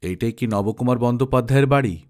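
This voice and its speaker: A man voicing a dignified elderly father with a soft, heavy, grave voice and a calm, courteous manner in a storybook reading. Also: medium pitch, fast talker, lightly acted. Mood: grave, polite. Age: older.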